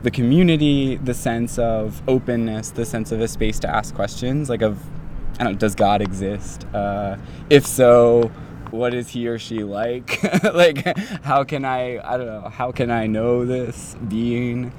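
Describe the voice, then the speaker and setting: A man's voice: mid-range voice, fairly even intonation, measured pace, a little mellow, a little hiss or hum. A young man in his twenties, a doctoral student, telling his story in a casual, rambling way full of fillers like "like" and "um". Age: 20s.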